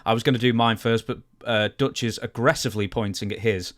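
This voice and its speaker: A man's voice: medium pitch, fairly even intonation, fairly quick, fairly bright tone. A man co-hosting a podcast, chatting casually and reading out plugs for the show. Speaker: podcast co-host.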